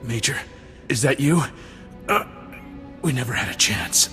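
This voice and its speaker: An actor playing a gravely wounded military commander in a video game, gasping weakly, halting and urgent. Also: male voice, medium pitch, very animated. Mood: pained, shocked. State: weak and gasping from injury. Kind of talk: video game dramatic dialogue. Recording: loud music underneath.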